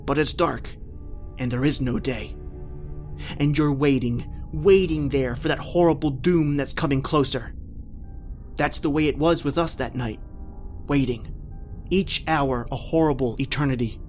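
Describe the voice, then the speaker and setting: Male actor as haunted horror-radio narrator: desperate, intense, dramatic drawn-out phrasing, music underneath. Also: medium pitch, close to an everyday voice. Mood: brooding dread. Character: terrified man recounting a flight from a monster, haunted, desperate.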